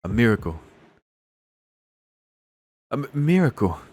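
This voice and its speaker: A man's voice: mid-range voice, big pitch swings, unhurried, darkish tone, slightly rough. A man streaming a visual novel, reading the text aloud in a casual way and reacting with incredulous, jokey swearing. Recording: music playing behind the voice. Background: guitar music of a game soundtrack.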